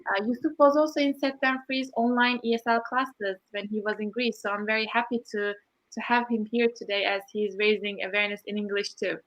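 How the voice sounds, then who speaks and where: A woman introducing the guests of an online panel, reading with many pauses and "uh" fillers. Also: medium pitch, level tone, a bit bright, highs cut off. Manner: reads with frequent "uh" fillers. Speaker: program host introducing guests. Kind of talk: scripted introduction.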